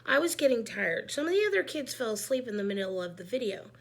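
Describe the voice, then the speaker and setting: A woman reading a children's book aloud, narrating expressively in an animated, emphatic way. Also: fairly high pitch, fast. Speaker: read-aloud storyteller.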